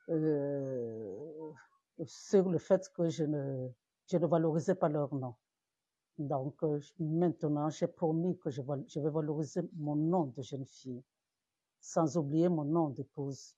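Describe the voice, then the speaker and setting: A woman's voice: sits low, mellow, slightly rough, no echo, highs cut off. A woman pastor from Cameroon preaching in a live-streamed sermon, repeating words and rising to emphatic peaks.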